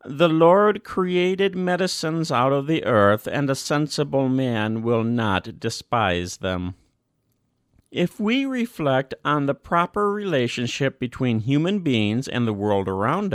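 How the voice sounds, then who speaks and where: A man giving a scripted reading of a religious document, phrase by measured phrase, with deliberate emphasis. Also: mid-range voice, sing-song, smooth, no echo, studio quality. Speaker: reader.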